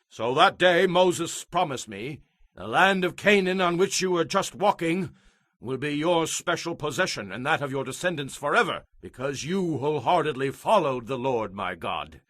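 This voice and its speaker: A man's voice: a little high, pushed and bright, no room sound, clean studio sound. A man playing a vigorous, devout eighty-five-year-old warrior, earnest and proud, in a dramatized audio Bible with declamatory, emphatic delivery.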